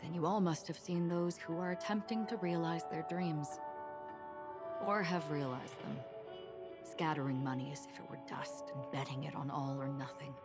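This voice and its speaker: A woman voicing a composed, melancholy traveler who recounts tales in a measured, poetic way in a video game. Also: low voice, steady tone, close to an everyday voice. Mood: wistful, measured. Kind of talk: scripted video game dialogue, storytelling. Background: loud music.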